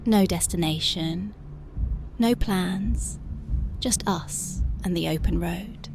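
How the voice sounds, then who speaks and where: A woman voicing a tender, dreamy young punk girlfriend in an ASMR roleplay, speaking softly and often slipping into whispers. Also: fairly low pitch, slow, background noise. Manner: soft, often drifting into whispers. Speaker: ASMR voice actress.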